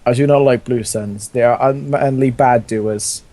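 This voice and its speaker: A man's voice: medium pitch, fairly even intonation, measured pace, dark tone, slight background noise, close to an everyday voice. A man voicing a boastful, blunt cartoon cat who commands a spaceship in a fan story, stiff and mock-serious. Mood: mock-stern.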